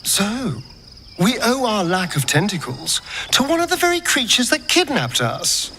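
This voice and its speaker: A man playing a wary former slave in a video game, with theatrical, emphatic, deliberate delivery. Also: high-pitched, very animated, pushed and bright, a little hiss or hum, full character voice. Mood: wary, wry. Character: wary male former slave guarding his regained body. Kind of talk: dramatic game dialogue.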